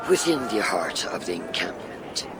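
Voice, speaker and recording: Deep, gravelly, secretive ally. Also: male voice, very animated, in a whisper, full character voice. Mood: conspiratorial. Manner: deliberate, formal, conspiratorial. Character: calm, secretive male conspirator-ally, measured and shrewd. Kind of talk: video game voice acting.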